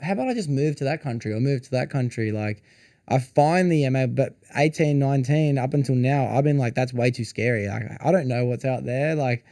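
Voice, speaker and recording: A man's voice: medium pitch, a little fast, dark tone, no room sound, studio quality. A man, hosting a casual podcast interview in a chatty, laid-back way. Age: 20s. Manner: chatty, laid-back, says "yous" and "bro". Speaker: podcast host.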